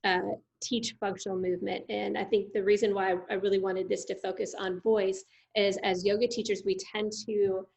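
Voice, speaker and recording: Female host, middle-aged, conversational interviewer, medium pitch. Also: flat delivery, normal speed, creaky, few pauses, darkish tone. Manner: chatty, affirming, many fillers.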